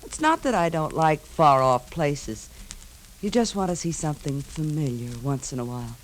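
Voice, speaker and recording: A woman's voice: sits low, big pitch swings, moderate pace, poor audio. A breezy, flirty American woman, speaking in short slangy phrases with a touch of homesickness. It has the style of 1950s radio drama, with faint hiss under the voice. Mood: breezy, inviting. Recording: 1950s radio recording, hissy background.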